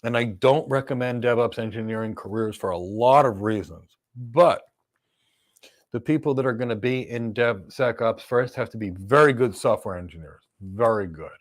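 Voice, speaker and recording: A man's voice: mid-range voice, moderate pace. An American man hosting a live career Q&A as a cloud career coach, answering viewer questions emphatically with blunt one-word verdicts. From United States.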